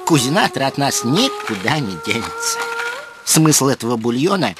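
A man voicing an earnest, philosophizing animal dreamer in a children's cartoon, with lofty, pleading, drawn-out phrasing. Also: mid-range voice, huge pitch swings, music in the background. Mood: tired, contented.

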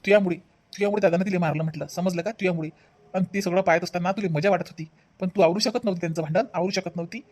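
A woman's voice: on the low side, steady tone, racing, darkish tone, lightly acted. A woman voicing a hot-tempered, accusing husband in a family comedy cartoon, repetitive and melodramatic, with a Vidarbha Marathi accent. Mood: angry, accusing. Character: hot-tempered husband devoted to his sister-in-law. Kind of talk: animated family comedy acting.

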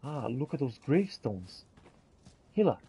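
Mellow-voiced man roleplaying in a fantasy game. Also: mid-range voice, very animated, a little slow, husky. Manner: theatrical archaic phrasing mixed with casual talk. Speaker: gamer roleplaying as the player character. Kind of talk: in-character roleplay commentary over fantasy game.

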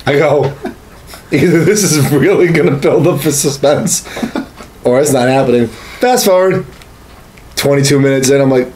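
Male podcast co-host, average pitch, relaxed conversational style, stretches out his anecdotes haltingly. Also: slight background noise. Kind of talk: casual chat. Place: small home studio room, indoors.

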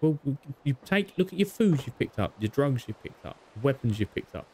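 A man chatting casually while playing a co-op game, with a soft, soothing voice and a joking manner. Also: medium pitch, big pitch swings, moderate pace. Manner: joking, mock-narrates his friend's life. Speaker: gamer co-op player. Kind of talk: casual gaming chat.

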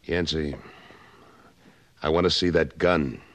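A man's voice: very deep voice, fairly even intonation, takes it slowly, fairly bright tone, heavily acted. An actor playing a tough cattle rancher and former cavalryman who talks in a blunt, pressing way in a 1950s radio western. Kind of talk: 1950s radio drama acting.

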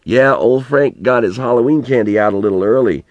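A man's voice: mid-range voice, a little fast, no echo, dull audio, natural voice. A man reading a storybook as an elderly farmer grandfather, plain-spoken and folksy, telling rambling, earnest reminiscences with some mild swearing. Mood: musing. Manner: colloquial rural storytelling, plain-spoken, mildly profane.

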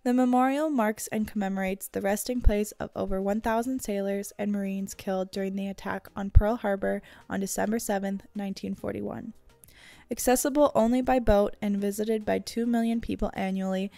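A woman's voice: mid-range voice, steady tone, moderate pace, vocal fry, warm tone, no room sound. A woman reading a scripted travel guide narration for a vlog.

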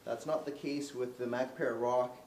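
A man's voice: mid-range voice, fairly even intonation, normal speed, darkish tone. A man in his forties walks through a product's features in a casual, unscripted demonstration, with fillers and restarts as he moves about. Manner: moving around while demonstrating. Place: indoor showroom, medium-sized room. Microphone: camera mic from a distance. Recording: roomy echo, distant camera mic, faint hiss.

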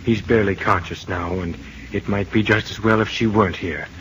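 A grave, considerate doctor played by a man in an old radio drama. He speaks in a low voice over faint noise. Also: steady tone, fairly quick, fairly bright tone, lightly acted, rough recording. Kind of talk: 1930s-40s radio acting.

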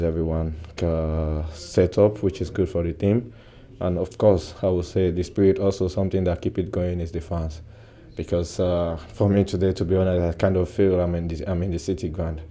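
A young man in his twenties, a footballer giving a soft-spoken post-match interview with many "uh" pauses, recorded indoors close to the mic. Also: low voice. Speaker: football player. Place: indoor press backdrop at a stadium.

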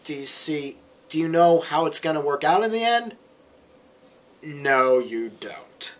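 Young male vlogger, voice a bit on the high side, conversational and emphatic, recorded indoors on a webcam. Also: lively intonation, measured pace, fairly bright tone. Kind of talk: casual opinion monologue read to camera. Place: small bedroom, indoors. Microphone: camera or webcam at arm's length.

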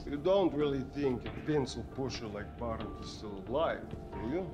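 An actor playing a cynical, callous mercenary villain in a video game, mocking and condescending. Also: male voice, mid-range voice, lively intonation, normal speed, lo-fi sound, over music, lightly acted. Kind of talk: cinematic video game acting.

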